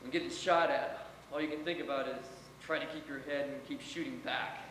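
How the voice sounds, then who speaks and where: A man's voice: medium pitch, steady tone, brisk, fairly bright tone, close to an everyday voice. A man performing a stage reading as a weary, wry young American soldier writing home. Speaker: readers theater performer. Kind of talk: dramatic reading of war letters. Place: college theater stage. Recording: echoey hall from afar, noisy.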